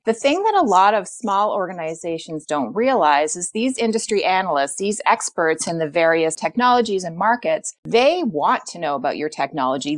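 A woman's voice: on the low side, no echo. A middle-aged woman guest consultant answering interview questions in an earnest, explanatory way, often repeating words as she thinks.

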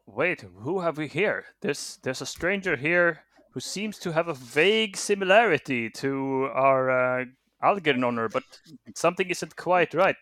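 A man's voice: on the high side, dry recording. A man co-hosting a podcast in casual chat, lightly teasing the others. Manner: teasing, lively. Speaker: podcast co-host.

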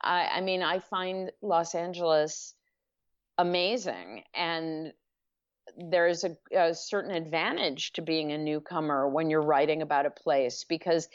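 Slow, measured woman, dull lo-fi sound. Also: low voice, steady tone. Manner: thoughtful, measured, with restarts. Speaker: author and guest. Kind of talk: podcast interview. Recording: dull lo-fi podcast audio.

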